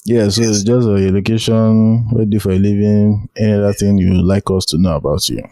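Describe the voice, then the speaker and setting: A Nigerian-accented man hosts a casual interview podcast. His voice sits very low, and the recording is close and dry, with little room sound. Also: fairly even intonation, a little fast, dark tone, no echo. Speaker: podcast host.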